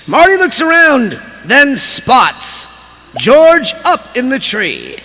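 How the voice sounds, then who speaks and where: A man voicing an excitable cartoon character who reads stage directions melodramatically in a creaky, sharp voice. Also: extremely high pitch, takes it slowly, exaggerated voice. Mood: suspenseful narration. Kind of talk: dramatic script narration in cartoon voice. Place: large convention hall stage. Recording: echoey convention hall PA, faint noise.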